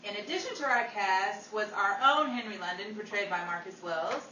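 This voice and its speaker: A woman's voice: medium pitch, lively intonation, normal speed, pushed and bright. A woman announcer who moves between radio-style credits and casual off-script chat. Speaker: announcer and cast member. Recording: very noisy, echoey, distant mic.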